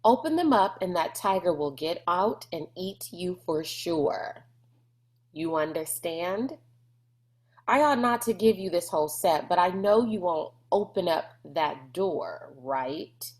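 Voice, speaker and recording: A woman voicing a gruff, boastful, bullying man in a storybook, with a folksy, mocking swagger. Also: fairly low pitch, lively intonation, takes it slowly, a little mellow. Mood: bossy.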